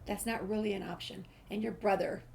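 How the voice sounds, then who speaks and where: Female gameplay streamer, medium pitch, casual walkthrough asides and read-aloud dialogue, slight background noise. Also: steady tone, fairly quick, gravelly.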